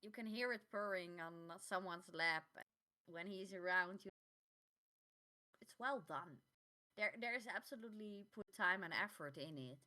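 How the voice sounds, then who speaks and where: A woman co-hosting a podcast in casual chat, halting and repeating words as she finds her phrasing. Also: mid-range voice, pushed and bright. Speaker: podcast co-host.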